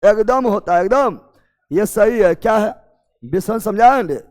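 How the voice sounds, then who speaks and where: A man teaching an exam coaching class, drilling students with repeated questions and urging them to answer fast. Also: high-pitched, expressive, long reverb tail. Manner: loud, emphatic, urging students to hurry.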